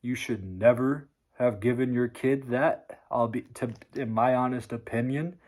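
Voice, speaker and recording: A man preaching hard work in a blunt, profane rant to the camera. His voice sounds sore and strained from talking. He is neither high nor low in pitch. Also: measured pace, a little mellow. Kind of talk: motivational rant vlog.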